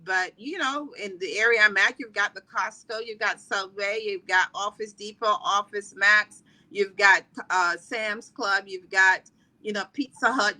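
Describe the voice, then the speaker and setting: A middle-aged woman from the Caribbean talks as a podcast guest, rambling and chatty, full of fillers and restarts. Her voice is strikingly bright and forward. She sits at a middle pitch for a woman. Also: poor audio. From Trinidad and Barbados. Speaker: podcast guest, wellness coach. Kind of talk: casual interview talk.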